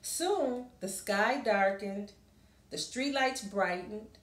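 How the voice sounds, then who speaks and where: A woman reading a picture book aloud expressively to children, with explanatory asides and questions in a deliberate manner. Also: mid-range voice. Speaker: children's storybook reader. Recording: roomy, echoing room sound.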